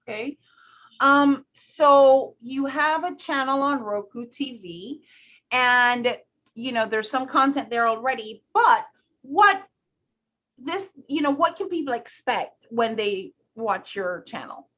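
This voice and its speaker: A woman's voice: sits high, animated, takes it slowly, pushed and bright. A woman interviewer asking questions and encouraging listeners, in a dull lo-fi recording. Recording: dull lo-fi sound.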